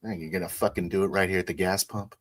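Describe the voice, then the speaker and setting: Really deep husky guy playing a tabletop rpg, halting, builds the scene bit by bit. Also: steady tone. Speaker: tabletop roleplay player. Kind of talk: improvised roleplay narration and dialogue.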